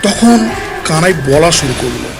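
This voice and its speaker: High-pitched male storyteller, very animated. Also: slow, vocal fry, over a noisy crowd. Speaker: storyteller, narrator. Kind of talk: dramatic scripted ghost-story narration. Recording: music behind the narration.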